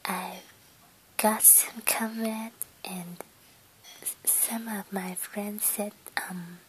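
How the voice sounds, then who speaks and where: Slow breathy whispering woman. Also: mid-range voice, fairly even intonation, noisy background. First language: Cantonese and Mandarin Chinese. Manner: hesitant, simple phrasing with many pauses. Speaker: ASMR channel host. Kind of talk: soft-spoken whispered ASMR chat. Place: at home at her computer. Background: faint keyboard typing clicks.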